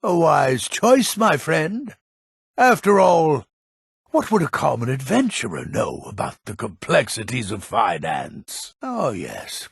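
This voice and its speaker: An actor playing a grumpy, crafty old merchant in a fantasy video game, gravelly and husky, with a grumbling, theatrical delivery. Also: male voice, medium pitch, fairly bright tone, no echo, studio quality, full character voice. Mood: smooth, businesslike, then haughty. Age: older.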